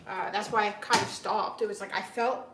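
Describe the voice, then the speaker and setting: A woman's voice: medium pitch, steady tone, moderate pace. A young woman chatting on a livestream with her viewers, sounding stuffed up and rambling with many restarts and fillers. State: stuffed-up nose. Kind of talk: casual livestream chat with viewers. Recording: echoey room with clear background noise.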